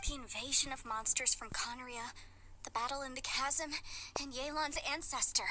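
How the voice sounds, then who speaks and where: An actress playing a polite, thoughtful young traveler in a video game, asking and explaining in measured speech. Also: female voice, high-pitched, steady tone, pushed and bright, a little hiss or hum, low-quality recording. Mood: pondering. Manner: measured, inquisitive.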